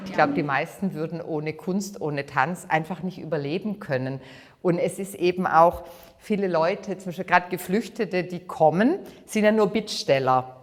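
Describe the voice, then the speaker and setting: A woman's voice: lowish voice, normal speed, long reverb tail. A woman speaking earnestly and steadily in a documentary interview.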